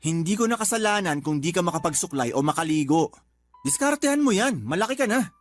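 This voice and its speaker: A man's voice: sits high, lively intonation, fast. A man with a Filipino accent playing a carefree young father in a radio drama, whiny and defensive as he bickers. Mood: irritated. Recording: music behind the dialogue.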